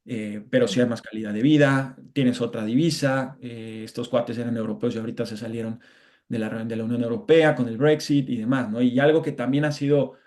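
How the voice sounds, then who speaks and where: A man's voice: mid-range voice, steady tone, a little fast, darkish tone. A Mexican man, an interview guest, talking reflectively in a casual conversation, often restarting and adding tag questions.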